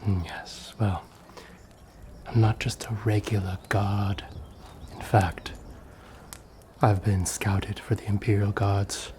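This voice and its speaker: A man playing a corrupt, wheedling town guard in a fantasy ASMR roleplay, speaking softly and often dropping to a whisper, insinuating and mock-polite. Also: lowish voice, level tone, slow pace, pauses often, noisy background. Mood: boastful.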